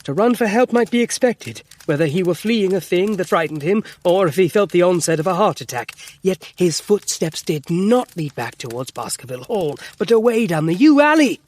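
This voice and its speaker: An actor playing an earnest, excitable gentleman doctor in an audio drama, formal and emphatic as he tells his tale. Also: high voice, normal speed, few pauses. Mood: excited. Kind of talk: full-cast audio drama acting.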